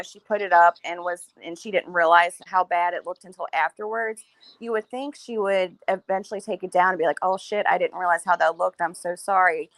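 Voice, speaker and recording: A woman co-hosting a casual livestream chat, her voice a little raspy, talking easily with fillers. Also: mid-range voice, fairly bright tone. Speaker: co-host.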